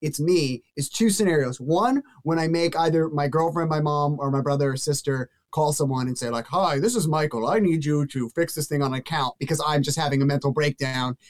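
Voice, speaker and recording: A man with a fairly high-pitched voice, hosting a casual interview podcast and asking rambling, animated questions. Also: brisk, hardly any pauses. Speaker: podcast host.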